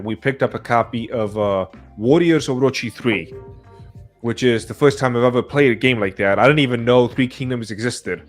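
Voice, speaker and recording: A man with a mid-pitched voice hosts a casual podcast chat. His delivery is conversational and informal, with music playing faintly behind him. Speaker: podcast host.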